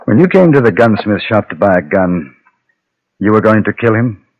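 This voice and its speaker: An actor playing a calm, probing western gunman who mediates, measured and terse, in vintage radio drama. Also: on the low side. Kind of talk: Golden Age radio western acting with first-person narration. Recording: dull vintage radio with background din.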